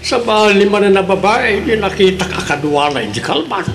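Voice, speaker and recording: A man's voice: high voice, lively intonation, moderate pace, gravelly, over music. An actor with an Ilocano accent playing a friendly, helpful male coworker in a radio drama. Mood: concerned. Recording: noisy radio drama recording.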